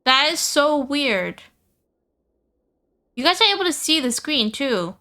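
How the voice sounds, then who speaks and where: A woman's voice: high voice, big pitch swings, slow pace, bright, forceful tone. A woman live streamer chatting casually about a game, often repeating phrases for emphasis. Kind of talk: casual gaming stream chat.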